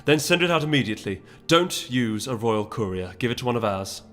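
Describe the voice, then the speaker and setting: A man's voice: medium pitch, expressive, fairly quick, a bit bright, faint music behind, close to an everyday voice. A man voicing a proud, resolute noble mare who speaks formally and imperiously in a dramatic fantasy audiobook. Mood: cold, determined.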